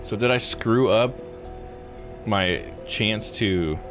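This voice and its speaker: A man with a low voice gives casual game commentary over prominent background music. He sounds laid-back and jokey, thinking aloud and paraphrasing as he goes. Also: slow. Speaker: streamer playing a visual novel. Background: video game music.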